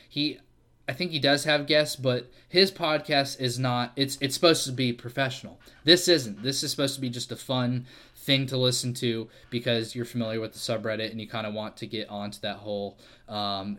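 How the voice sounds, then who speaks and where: A man hosting a casual solo podcast, rambling and self-deprecating, with plenty of fillers and swearing. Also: medium pitch. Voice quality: fairly monotone delivery. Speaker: podcast host.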